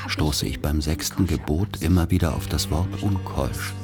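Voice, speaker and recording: Male narrator: very deep voice, reflective, recalling boyhood, literary radio play, faint music. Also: normal speed. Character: reflective grown man recalling his boyhood.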